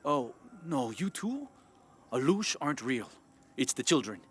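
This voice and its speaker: An actor playing a flustered, exasperated villager in a video game. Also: male voice, medium pitch, sing-song, a little slow, fairly bright tone, breathy edge, exaggerated voice.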